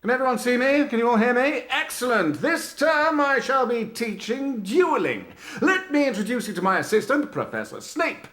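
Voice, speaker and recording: An actor playing a vain, boastful wizard professor in a video game, with a pompous theatrical manner. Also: male voice, extremely high pitch, sing-song, normal speed, fairly bright tone, exaggerated voice. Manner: pompous, theatrical, self-admiring.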